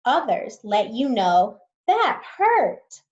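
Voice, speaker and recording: Brief: a patient woman teacher who reads slowly and stops to explain words, over a muffled home mic. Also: fairly high pitch, sing-song, a little mellow. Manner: slow, patient, explains words as she goes. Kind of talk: reading a science book aloud to students.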